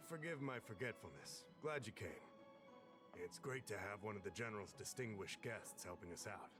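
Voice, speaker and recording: An actor playing a polite, earnest male civil servant in a fantasy video game, speaking in a formal, measured way. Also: lowish voice, lightly acted. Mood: courteous, grateful. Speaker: game character, government official. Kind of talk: scripted video game dialogue. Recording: game music behind the voice.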